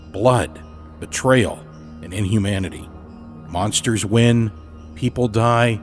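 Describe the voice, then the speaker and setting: A man hosting a horror fiction podcast, reading a scripted introduction in a measured, deliberate way. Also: medium pitch. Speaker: podcast host.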